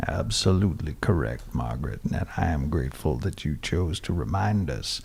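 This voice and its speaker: A man playing a pompous, philosophical pirate-radio host with a gravelly, husky voice and a solemn, wordy manner. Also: very deep voice, expressive, moderate pace, darkish tone, heavily acted. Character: grandiose philosophical male pirate-radio host, earnest hippie preacher type. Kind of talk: comic radio drama acting.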